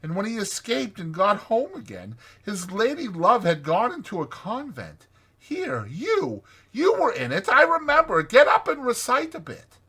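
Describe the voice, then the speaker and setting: Male reader as little storybook mole, excitable, earnest, swooping raised pitch, kindly. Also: unhurried, slightly rough, heavily acted. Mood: eager, proud. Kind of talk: dramatized children's storybook reading.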